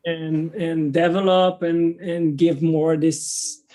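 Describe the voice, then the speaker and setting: French-accented young male guest, higher-pitched voice, searches for words as he talks. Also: little pitch movement, warm tone. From France. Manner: halting, restarts sentences often. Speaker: guest, travel content creator. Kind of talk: casual interview conversation.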